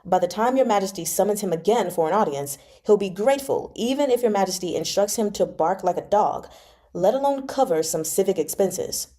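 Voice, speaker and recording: Woman reading a cool shrewd court advisor guy, mellow and steady, storybook style. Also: mid-range voice, natural voice. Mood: composed. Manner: steady, measured persuasion. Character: shrewd, composed male courtier advising the emperor.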